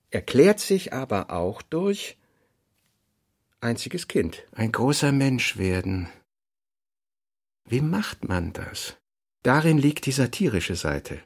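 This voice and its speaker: Man reading out notebook jottings for a radio play, mid pitch, reflective, pauses between phrases. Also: husky. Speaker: reader.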